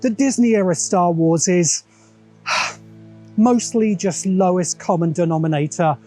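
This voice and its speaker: A man narrates a scripted video essay in a soft, relaxed, slightly high voice. He is opinionated and dryly sardonic, with light music playing behind him. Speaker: host of a science fiction commentary show. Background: background music.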